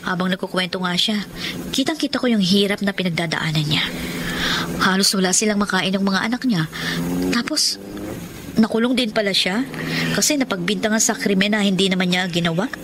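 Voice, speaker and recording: Radio drama role: generous, caring young woman played by an actress, gentle and reassuring, mid-range voice, noticeable background noise. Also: medium pitch, animated, fairly quick, long pauses, natural voice. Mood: concerned. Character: kind, generous young woman, devoted friend. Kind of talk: Tagalog radio drama acting.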